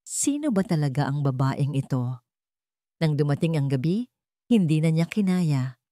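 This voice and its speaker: Low-voiced woman narrating dramatic fiction. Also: big pitch swings, moderate pace, dark tone, no echo, clean studio sound. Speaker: story narrator.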